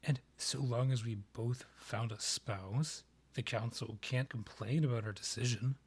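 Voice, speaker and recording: A proud vampire king played by a man in a romantic fantasy audio roleplay. His mid-pitched voice is soft-edged and breathy. He speaks in formal, measured phrases with an arch, condescending air, as a rival and former lover. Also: steady tone. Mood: persuasive, composed. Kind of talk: scripted romantic roleplay ASMR audio drama.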